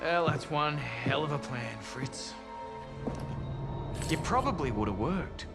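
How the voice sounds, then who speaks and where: A cocky Australian soldier in a video game, brash and mocking. His voice sits a little high, and loud music runs behind it. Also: animated, slow pace, creaky, heavily acted. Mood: wry.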